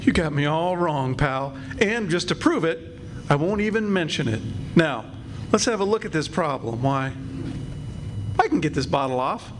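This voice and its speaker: Man playing a confident, higher-voiced helpful pal. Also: expressive, moderate pace, close to an everyday voice. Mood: breezy, confident. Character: confident, helpful male friend playing amateur hypnotist. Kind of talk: old-time radio comedy acting. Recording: noisy live stage recording.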